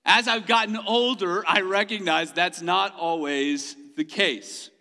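Male pastor, higher voice, very bright and forceful tone, self-mocking humor, echoing sanctuary. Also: unhurried. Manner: humorous self-deprecating storytelling; laughs often mid-sentence. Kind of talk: sermon. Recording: long hall reverb.

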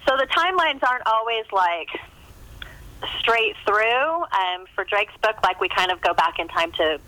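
Middle-aged American woman, bright voice, guest author, chatty and rambling, casual interview delivery over the phone. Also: mid-range voice, expressive, moderate pace, rough recording. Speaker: novelist being interviewed.

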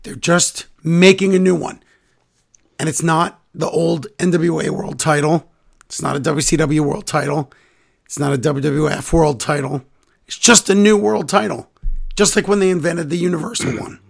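Raspy hoarse guy losing his voice, gravelly, kinda high, casual podcast host. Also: fairly even intonation, moderate pace. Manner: slips into whispers. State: hoarse from laryngitis, voice coming back. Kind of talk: casual wrestling podcast chat.

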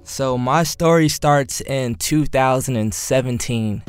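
A man's voice: mid-range voice, takes it slowly, soft background music. A cinematographer telling a story about his own mishap on set, casually and with self-deprecating humor. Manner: animated, self-deprecating, acts out quotes. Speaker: cinematographer guest.

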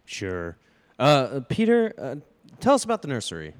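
A man's voice: mid-range voice, huge pitch swings, moderate pace, clear, resonant tone. A man playing a dismissive, firm husband and father in a radio play. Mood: firm, probing.

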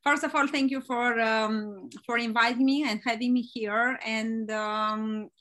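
A woman from Romania, a life coach speaking as an interview guest, hesitant and pausing often to search for words. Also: fairly high pitch, steady tone, fairly bright tone. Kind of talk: casual interview.

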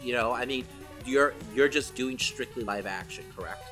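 A man with a medium-pitched voice co-hosts a casual talk show. He puts questions and drops wry asides. Music is clearly audible behind him. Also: moderate pace, pushed and bright. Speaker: podcast co-host. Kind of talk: casual chat, asking questions.

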